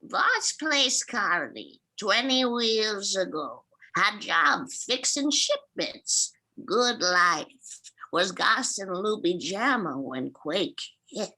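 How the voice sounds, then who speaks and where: Scrappy old rat grandma alien, slow n sharp, choppy broken english, comic sci-fi read. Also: female voice, mid-range voice, big pitch swings, heavily acted, poor audio. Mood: wistful storytelling. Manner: broken-English folksy speech, clipped sentences.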